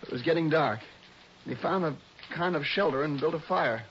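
Young city male, shaken and mourning: halting gravelly delivery, vintage radio serial, muffled hissy sound. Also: a little high, fairly bright tone. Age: young adult. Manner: halting, faltering. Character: shaken, grieving young city-bred man. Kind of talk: 1960s radio drama acting. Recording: muffled, noisy old radio recording.

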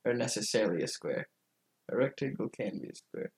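A man's voice: on the low side, sing-song, fairly quick, vocal fry. A man chatting casually as a podcast guest, talking in an informal, hesitant, loosely worded way. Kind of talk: casual chat.

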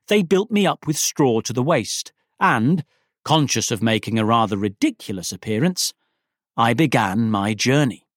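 Man, animated literary storyteller. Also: a little high, dry recording, clean studio sound. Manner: wry, self-deprecating first-person storytelling. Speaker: actor reading an audiobook story. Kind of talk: expressive literary narration of a Victorian story.

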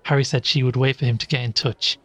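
A man acts as an inquisitive male podcaster in a horror audio drama. He has a mid-pitched voice and tells his investigation in the first person, careful and questioning. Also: fairly even intonation, fast talker, slightly rough, natural voice. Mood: uneasy, reflective.

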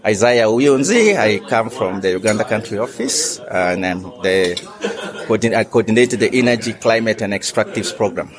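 A man introducing himself as a workshop participant, speaking in a measured, slightly hesitant way. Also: fairly low pitch, animated, a crowd in the background.

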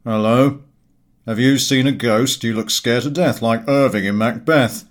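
A man voicing a boisterous, tactless, jovial friend in a comic audiobook, loud and bluff in manner. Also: medium pitch, unhurried, natural voice. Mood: mocking. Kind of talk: audiobook character voice, comic Victorian novel.

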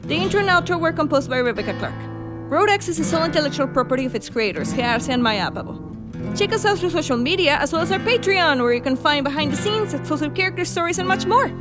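A woman's voice: high voice, animated, fast talker. A woman announcer giving a scripted read of podcast credits. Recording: prominent plucked guitar music behind. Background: guitar music.

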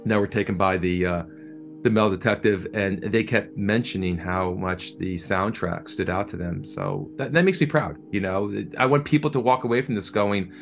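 A man with a fairly low voice gives a reflective interview as a producer. He speaks earnestly and with enthusiasm, often doubling back on words while he searches for the right phrase. Faint music plays behind him. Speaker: audio drama producer being interviewed.

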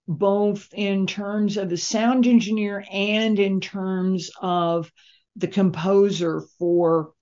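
A woman hosting a conversational interview as the interviewer, speaking in a measured way. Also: medium pitch, a little mellow. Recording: dull lo-fi audio.

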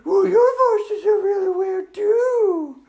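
Shrill, shrieking girl dragon. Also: takes it slowly, darkish tone, exaggerated voice. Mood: indignant, shrieking. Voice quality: shrill, squawky. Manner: indignant, whiny outbursts. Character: huge touchy girl dragon, vain, greedy, quick to rage.

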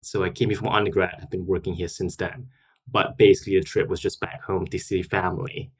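A young man from Singapore, a guest speaking in a measured, relaxed way with frequent ums. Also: low voice, husky. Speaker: guest, tech entrepreneur. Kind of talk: casual interview conversation.

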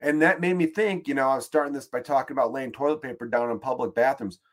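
A man's voice: medium pitch, quick pace. A man with a Chicago accent, hosting a podcast and delivering a profane, exasperated, rambling comedic rant. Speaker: podcast host.

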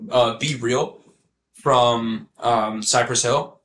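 A man's voice: medium pitch, fairly even intonation, very slow, a bit bright, slight background noise, rough recording. A man hosting a podcast in an easygoing, conversational way. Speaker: podcast host. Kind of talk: casual podcast chat.

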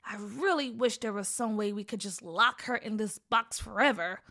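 A woman's voice: medium pitch, normal speed, sharp tone. A woman voicing a spirited, eager young boy in a story, casual and exclamatory. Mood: fed up.

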